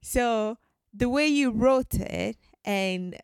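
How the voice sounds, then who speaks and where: A woman's voice: a little high, drawn-out words, smooth, no echo, clean studio sound. A woman from Ghana, a guest in a podcast conversation, talking earnestly and leaning on "like" and "so" as fillers. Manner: earnest and chatty, leans on "like" and "so".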